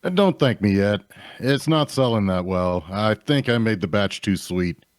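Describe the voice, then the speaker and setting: The low-voiced, practical innkeeper a man acts out behind the bar of a fantasy audio drama, casually talking shop, close and dry. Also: lively intonation, measured pace, no room sound. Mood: easygoing. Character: easygoing, practical male tavern keeper, helpful and plainspoken. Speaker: actor in a table read.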